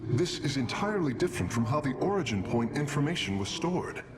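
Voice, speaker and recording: A man's voice: mid-range voice, sing-song, fairly quick, noisy background, natural voice. A man playing an earnest, analytical alien engineer in a video game, precise and formal in his speech. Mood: awed, analytical. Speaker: engineer and scientist. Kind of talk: video game cinematic dialogue. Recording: loud engine-like ship ambience behind.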